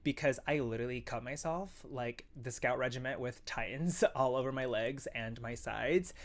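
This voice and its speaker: A man's voice: medium pitch, normal speed. A man from Indonesia talking casually to the camera in short social media clips, with a chatty style full of "like". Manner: chatty, heavy use of "like".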